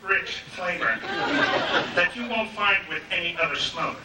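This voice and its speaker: Man doing a high, hip showman on noisy tape. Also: a little slow. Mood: smooth, salesmanlike. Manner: hip slangy crooner, chuckling. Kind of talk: 1960s television variety sponsor spot, on stage. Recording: roomy stage, distant mic, loud hiss.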